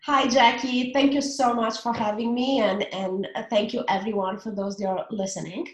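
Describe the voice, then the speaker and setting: A woman's voice: fairly high pitch, steady tone. Romanian-accented woman in an echoey room. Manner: earnest, halting with fillers. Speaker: relationship coach. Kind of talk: casual interview talk.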